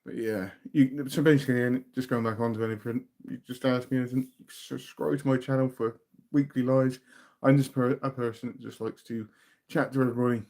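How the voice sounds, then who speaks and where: A British man chats loosely with viewers from a room at home, answering questions as they come in. His talk is relaxed and rambling, and his voice often sinks to a low mumble as a thought winds down. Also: a little fast. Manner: relaxed, chatty, trails off into quiet mumbles. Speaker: livestream host. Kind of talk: casual live chat reading viewer comments.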